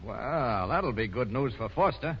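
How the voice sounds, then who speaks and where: A folksy small-town policeman in a 1930s radio drama. He talks informally and slangily at a medium pitch. The recording is muffled, with a faint layer of noise. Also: animated, unhurried. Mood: chatty. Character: affable small-town policeman making a casual report.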